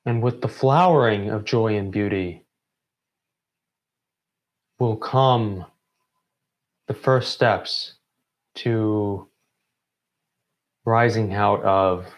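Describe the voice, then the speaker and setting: A man delivering a prepared closing address in deliberate phrases broken by pauses. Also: on the low side. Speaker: member of a student theatre group giving closing remarks.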